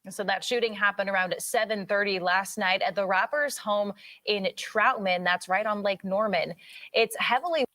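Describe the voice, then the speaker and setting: A woman's voice: mid-range voice, normal speed, sharp tone. An American woman delivering a scripted local television news report as an anchor.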